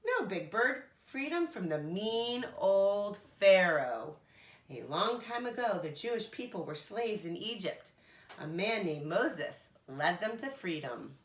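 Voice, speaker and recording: An eager, polite furry blue monster from a children's storybook, acted out by a woman, explains things earnestly. The delivery swings up and down with excitable emphasis, in a roomy, echoing space. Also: medium pitch, slow.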